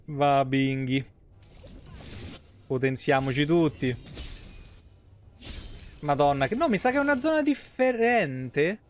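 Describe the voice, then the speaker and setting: A man's voice: a little high, animated, slow, pauses often, slight background noise. A man around his thirties, giving casual, slangy, sweary live commentary on a game. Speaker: game streamer. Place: indoor streaming room at home.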